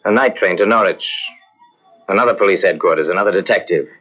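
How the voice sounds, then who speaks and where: A man narrating a detective mystery in old-time radio style, grave and measured. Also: sits low. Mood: solemn. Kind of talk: 1950s radio drama narration. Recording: dull old radio transcription.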